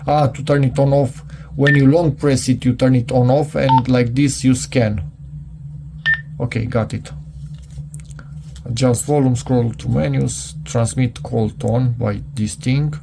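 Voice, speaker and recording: A man thinking aloud in a casual, unscripted hands-on product review, halting and muttering to himself as he goes. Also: medium pitch, fairly even intonation, gravelly, background noise.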